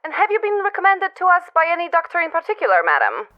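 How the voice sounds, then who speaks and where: A woman's voice: very high voice, huge pitch swings, fast talker, dull audio, full character voice. A woman playing a polite, officious telephone operator and receptionist in an old-time radio drama. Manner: formal, singsong, officious. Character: polite, detached female operator and hospital receptionist.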